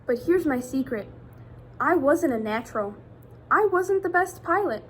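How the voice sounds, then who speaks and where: A woman's voice: high voice, lively intonation, a little slow, a little hiss or hum. A woman reading a picture book aloud to children, expressively, addressing them directly with questions like a teacher.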